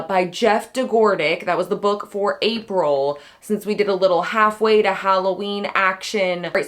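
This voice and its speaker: A woman hosting a casual book discussion on her channel, her voice hoarse and raspy as if she has partly lost it. She rambles loosely, circling back and correcting herself, with plenty of fillers between thoughts. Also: mid-range voice. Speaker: book club vlogger. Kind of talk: casual book review chat.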